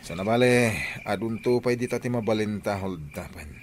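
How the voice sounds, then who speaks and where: A man's voice: lowish voice, moderate pace, slight background noise, natural voice. A man with a northern Philippine accent acting a hot-tempered criminal husband in a radio drama. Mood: scheming.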